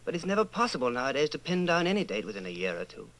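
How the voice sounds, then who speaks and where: An actor playing a brooding, fearful middle-aged secret rebel, speaking an intense, halting inner monologue in a radio drama. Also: male voice, mid-range voice, very animated, a bit bright, low-quality recording. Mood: anxious. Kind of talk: old-time radio dramatization, interior monologue.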